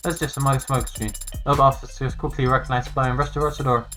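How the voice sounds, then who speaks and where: A man's voice: medium pitch, level tone, fast talker, bright, forceful tone, soft background music. A man streaming a game, reading its story text aloud as dramatic narration.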